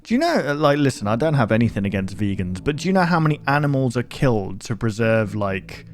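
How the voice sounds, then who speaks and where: A man's voice: medium pitch, sing-song, quick pace, faint music behind. A man giving casual, rambling reaction commentary in a jokey, incredulous manner. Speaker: YouTuber reacting to horror videos.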